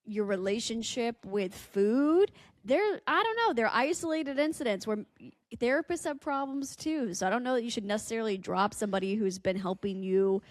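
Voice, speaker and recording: A woman talking casually as a co-host on a podcast. Her voice is somewhat high, and it is recorded dry with no audible room. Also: expressive, normal speed, dry recording.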